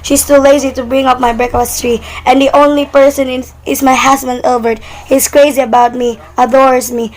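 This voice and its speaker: Woman acting as anxious invalid wife, high voice, fretful, demanding, wordy, amateur radio drama. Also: level tone, normal speed, few pauses, close to an everyday voice, low-quality recording. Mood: flustered, self-assured. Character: anxious, demanding invalid wife reporting an overheard murder plot.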